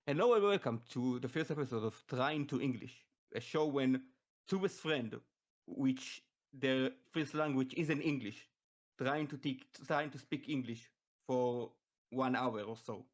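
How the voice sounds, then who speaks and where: Israeli man hosting a casual podcast. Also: mid-range voice. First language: Hebrew. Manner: halting, many restarts and fillers. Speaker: podcast host. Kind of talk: casual chat.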